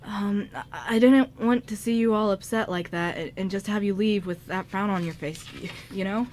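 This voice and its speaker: A woman's voice: mid-range voice, normal speed, darkish tone, natural voice. A woman playing a shy young male musician in an amateur radio drama, speaking hesitantly with many stammers. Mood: awkward, apologetic. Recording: light background noise.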